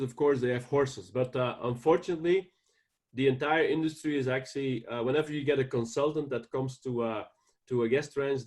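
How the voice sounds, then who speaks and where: A man with a Belgian accent speaks in an interview at a middle pitch. His talk is earnest and halting, full of fillers and restarts as he explains. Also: a little mellow. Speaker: guest ranch owner. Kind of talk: casual interview.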